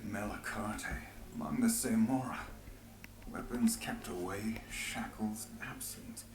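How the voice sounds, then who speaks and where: An actor playing a sombre, awed ally in a fantasy game, breathy and half-whispered. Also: male voice, fairly low pitch, slow, reverberant, background noise. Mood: hushed, wistful. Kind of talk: dramatic fantasy game voice acting.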